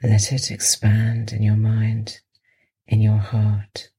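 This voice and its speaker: A woman with a soft, breathy voice guides a meditation in a soothing way, pausing at length between phrases. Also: extremely low pitch, monotone, faint music behind. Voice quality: soft, breathy, sometimes near a whisper. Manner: slow, soothing, with long pauses. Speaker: meditation podcast host and guide.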